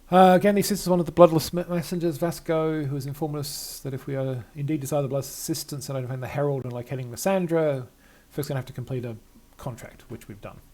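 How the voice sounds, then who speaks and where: An older man giving let's-play commentary, reading game text aloud and thinking aloud in a halting way. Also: fairly high pitch, steady tone, warm tone. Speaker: gamer playing and narrating a game.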